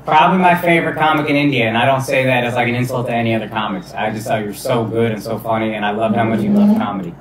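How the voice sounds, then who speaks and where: Relaxed male comedian guest, loose and casual, recorded far off with a crowd murmur behind. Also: medium pitch, racing, hardly any pauses, echoey room. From United States. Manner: laid-back, swears casually, laughs often. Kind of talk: casual podcast chat.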